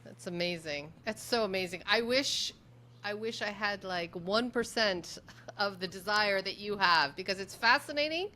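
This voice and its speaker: A woman hosts a conversational interview in a mid-range voice. She is effusive, repeating words to stress them. There is a faint low noise behind her. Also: normal speed, pushed and bright. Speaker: interview host.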